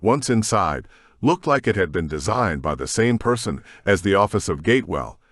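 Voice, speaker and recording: Male narrator: low, lively pitch, measured, close dry mic. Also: dry recording. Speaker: audiobook narrator. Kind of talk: scripted narration.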